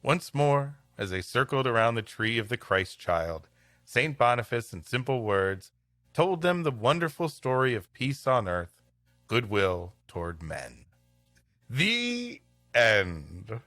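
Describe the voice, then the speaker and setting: A man's voice: medium pitch, big pitch swings, takes it slowly, sharp tone, no room sound, faint music behind. A man hosting a comedy podcast, reading old stories aloud and bantering casually. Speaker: podcast host and story reader. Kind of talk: storybook narration with comic banter.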